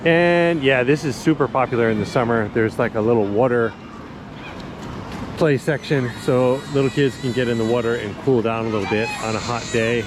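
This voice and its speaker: Clear outdoor city ambience surrounds a man with a medium-pitched voice as he films himself on a handheld action camera. He talks like a vlogger showing viewers around, casual and colloquial. His delivery is easygoing yet enthusiastic, as in an unscripted walk-and-talk. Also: normal speed, noisy background. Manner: laid-back, enthusiastic, colloquial. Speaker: vlogger giving a walking tour. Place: outdoors in a busy city park.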